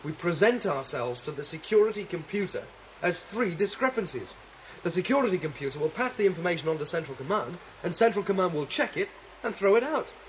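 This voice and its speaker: An idealistic rebel leader in a vintage science fiction radio play. His voice is high for a man, emphatic and sure of itself as he lays out bold schemes. The recording is dull, with faint background noise. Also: expressive, fairly quick. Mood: confident, scheming. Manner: emphatic, explanatory. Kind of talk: 1970s science fiction radio drama acting.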